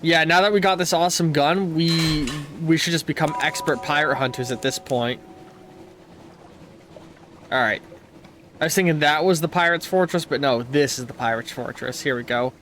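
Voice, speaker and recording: A man's voice: a little high, normal speed, fairly bright tone, slight background noise. A man with a stuffy nose streaming a game, reading its text aloud and stumbling over words, with bursts of playful trash talk. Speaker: let's play streamer. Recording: faint game music behind the voice.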